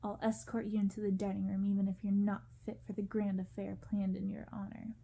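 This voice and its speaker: A woman's voice: medium pitch, level tone, a little fast, creaky, warm tone, a little hiss or hum, close to an everyday voice. A woman reading a storybook in ASMR style, voicing a cold, bullying young prince who is curt and condescending. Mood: scornful, impatient.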